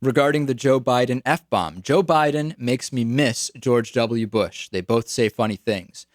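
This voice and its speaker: A man's voice: mid-range voice, unhurried, no echo, clean studio sound. A man with an American accent giving political commentary as a radio talk show host.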